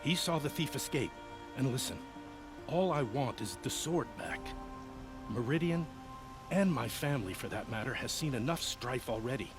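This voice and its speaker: A man's voice: mid-range voice, expressive, normal speed, music in the background, natural voice. An actor playing an anxious, proud nobleman in a video game, speaking in a formal, dramatic, earnest way. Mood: earnest, resigned. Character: anxious, proud nobleman pleading over family honor. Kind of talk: video game character acting.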